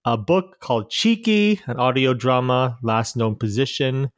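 A man's voice: mid-range voice, unhurried, no echo, clean studio sound. A man hosting a casual podcast chat, talking loosely and a bit hesitantly as he runs through things. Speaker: podcast host.

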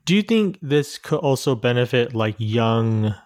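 Mid-pitched man in casual podcast chat. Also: very animated, unhurried, a little mellow. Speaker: podcast co-host.

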